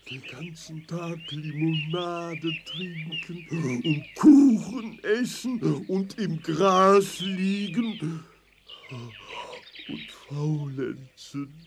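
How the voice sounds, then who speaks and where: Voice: medium pitch, extremely slow. An actor playing an easygoing, pleasure-loving lazy companion in a children's audio play. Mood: easygoing, dreamy. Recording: faint noise with birdsong.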